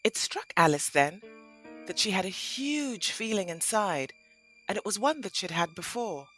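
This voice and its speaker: A woman narrating a scripted audio play in an expressive, dramatic storytelling manner. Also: medium pitch, measured pace, soft background music.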